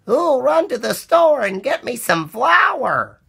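A man voicing a fussy, industrious female hen from a storybook, in an exaggerated singsong with drawn-out calls. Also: very high-pitched, sing-song, gravelly, sharp tone, breathy edge, voice pushed up, heavily acted. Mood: cheery calling out.

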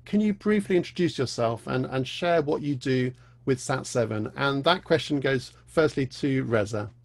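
A man's voice: mid-range voice, lively intonation, moderate pace, vocal fry. A man in his forties moderating a video call panel from a living room, friendly and brief in his handovers. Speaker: panel host. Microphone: video call webcam.

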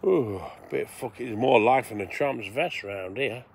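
Sweary rambling man with a gravelly voice. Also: mid-range voice, measured pace. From England. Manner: rambling, sweary, talks to viewers. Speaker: vlogger. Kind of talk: casual rambling livestream chat. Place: outdoors at night by the seaside.

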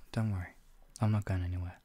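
A young man gently comforting the listener in a whispered roleplay, speaking in a soothing, caring way. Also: low voice, level tone, fairly quick, slightly rough, no echo.

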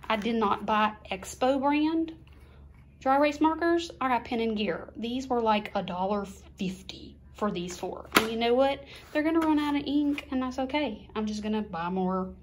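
A middle-aged woman chatting casually at her kitchen table, slowly listing the things she bought one by one. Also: fairly high pitch. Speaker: vlogger, mother of two. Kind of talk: casual haul chat.

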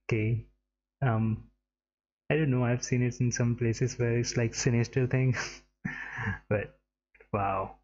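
A man's voice: mid-range voice, steady tone, takes it slowly, long pauses, a little mellow, dull audio. A young man from India giving casual reaction commentary as a streamer, on a headset. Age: young adult. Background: game trailer music.

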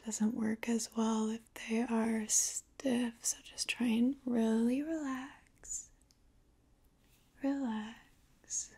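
A woman's voice: on the high side, little pitch movement, drawn-out words, a little mellow, slightly rough. A woman in her twenties doing an ASMR roleplay in a soothing, whispery close-up murmur. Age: 20s. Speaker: ASMR performer. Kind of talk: ASMR roleplay, soft slow intimate talk. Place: living room, close to the camera.

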